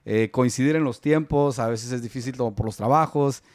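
A man hosting a casual podcast from a toy-filled collectibles room, joking and rambling with lots of fillers, speaking into a boom mic. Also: brisk, darkish tone, no room sound, studio quality. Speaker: podcast host. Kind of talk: casual chat. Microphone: studio mic on boom arm.

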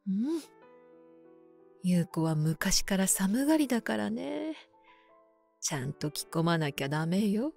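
A woman playing a frail, gentle elderly mother in a voice drama, speaking softly and tenderly as she reminisces. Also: mid-range voice, sing-song, vocal fry, soft background music, exaggerated voice. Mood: weak, tender. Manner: soft, tender, unhurried. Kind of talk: voice drama acting and first-person narration.

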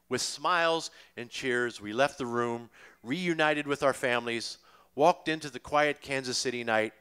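Bright-voiced older American man reading aloud. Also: mid-range voice, smooth. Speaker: author and musician reading from his memoir. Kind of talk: live book reading to an audience. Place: stage in a small dim music venue. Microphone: vocal mic on a boom stand, close.

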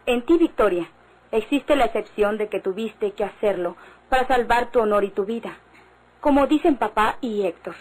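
A woman's voice: fairly high pitch, moderate pace, darkish tone, lightly acted, low-quality recording. A woman playing a genteel, insistent young lady in a Mexican radio drama, speaking in a refined, earnest way. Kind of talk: old-time radio drama acting.

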